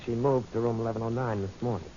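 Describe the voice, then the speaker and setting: A scatterbrained hotel clerk played by a man in a vintage radio mystery. He talks extremely fast in a fussy, deferential way, with faint noise behind the muffled broadcast sound. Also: medium pitch, fairly even intonation, dark tone, close to an everyday voice. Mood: flustered. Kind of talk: 1940s radio drama acting.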